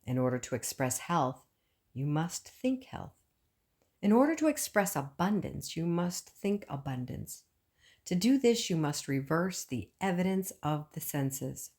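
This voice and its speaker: A woman reading a book aloud as scripted narration, with measured phrasing and frequent pauses. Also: deep voice, sing-song, a little mellow. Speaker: audiobook reader.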